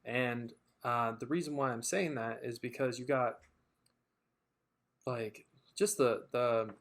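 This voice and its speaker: Guy rambling in a casual podcast chat, lots of ums and restarts, mid pitch. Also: slow pace, a bit bright. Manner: rambling, hesitant, many fillers and restarts. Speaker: podcast co-host.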